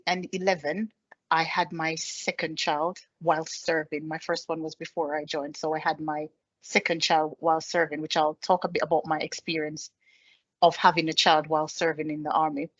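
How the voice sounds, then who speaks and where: A woman's voice: lowish voice, a bit bright, muffled recording. A woman in her thirties with a Jamaican accent, giving a personal presentation as an army staff sergeant, speaking plainly with many ums. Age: 30s. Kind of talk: presentation with slides.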